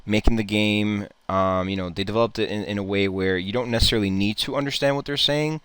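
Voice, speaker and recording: A man's voice: lowish voice, fast talker, fairly bright tone, clear, resonant tone, no room sound. A man hosting a gaming channel, giving casual, rambling reaction commentary full of fillers and repeated words.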